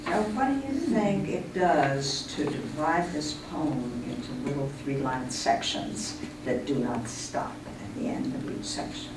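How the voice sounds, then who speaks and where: Lowish-voiced woman in a very echoey, noisy room. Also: a little slow, few pauses. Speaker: discussion leader. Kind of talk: informal poetry discussion, posing questions to a group. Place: indoor room at a senior center. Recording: very echoey room, distant mic, loud noise.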